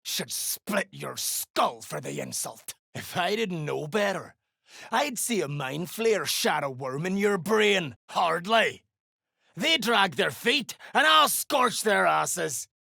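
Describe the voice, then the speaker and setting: An actor playing a scheming, greedy, foul-mouthed duergar slaver in a fantasy video game, with a harsh, gruff delivery. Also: mid-range voice, pushed and bright, dry recording, studio quality, exaggerated voice. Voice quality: very gravelly, harsh.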